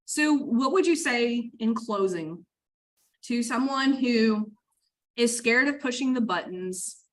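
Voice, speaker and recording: A woman business coach hosting an interview, talking enthusiastically in fast bursts with lots of fillers. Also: on the high side, expressive, low-quality recording. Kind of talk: interview chat.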